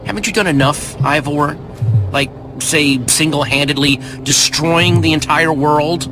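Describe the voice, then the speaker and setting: A man playing an earnest, plucky young adventurer in an animated video game, speaking directly. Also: on the high side, a little slow, noisy background, close to an everyday voice. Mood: indignant. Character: earnest, plucky young male adventurer leading his friends. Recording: loud music behind the voice.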